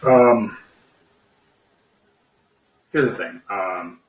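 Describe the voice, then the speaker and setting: A man casually debating politics as a caller, skeptical and halting, feeling his way through each point. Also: lowish voice. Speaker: podcast guest caller. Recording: echoey room, distant from mic.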